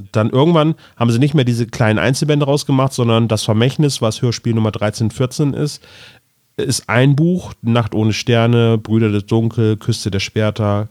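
Guy, podcast co-host, kinda low voice, rambles through explanations, very dry sound. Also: darkish tone, no room sound. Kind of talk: casual podcast chat.

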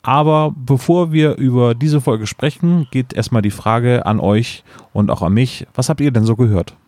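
A man's voice: mid-range voice, normal speed, a little mellow, no room sound. A young man hosting a casual podcast, chatty and leading the talk, laughing often. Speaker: podcast host.

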